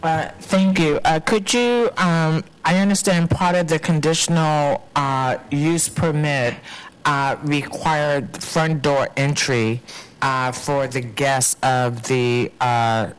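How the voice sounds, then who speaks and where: Halting man questioning hearing staff. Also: on the high side, steady tone, clear, resonant tone, poor audio. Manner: halting, searching for words. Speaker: commissioner.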